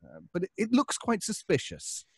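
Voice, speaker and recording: Radio suspense role: nervy, stammering fussy gentleman, slightly husky, lively intonation. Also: high voice, no room sound, exaggerated voice. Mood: polite, hesitant. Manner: stammering, flustered, halting. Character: anxious, fussy, hesitant male stage actor neighbour. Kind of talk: old-time radio suspense acting.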